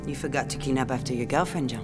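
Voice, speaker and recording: Low-voiced, sardonic young woman. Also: fast talker, loud music behind the voice. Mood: hurt, angry. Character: young French fiancée, wounded, sardonic, quietly bitter. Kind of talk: naturalistic audio drama acting and intimate narration.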